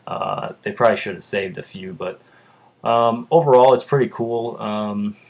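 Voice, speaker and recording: Deep-voiced young man rambling casually. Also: normal speed. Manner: rambling, lots of uhs and ums. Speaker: vlogger. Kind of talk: casual chat.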